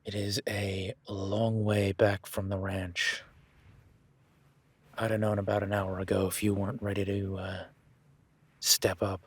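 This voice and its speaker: A man's voice: on the low side, completely flat delivery, measured pace, pauses often, husky. A man voicing an easygoing male cowboy ranch hand in an audio roleplay, talking in a laid-back, folksy way with casual swearing.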